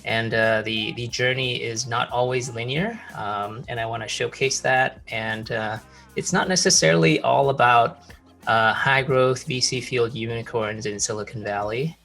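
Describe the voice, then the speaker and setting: Bright-voiced enthusiastic male host. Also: medium pitch, fairly even intonation, moderate pace, vocal fry, faint music behind. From Malaysia. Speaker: podcast host. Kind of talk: founder interview.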